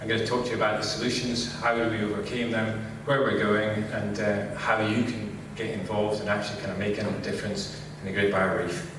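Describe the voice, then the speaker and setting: A middle-aged man from Scotland with a Scottish accent, giving a relaxed conference talk and saying "kind of" constantly. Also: fairly low pitch, a little fast, few pauses, background noise. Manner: relaxed, filler-heavy, says "kind of" constantly. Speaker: conference speaker. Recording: echoey hall, distant mic.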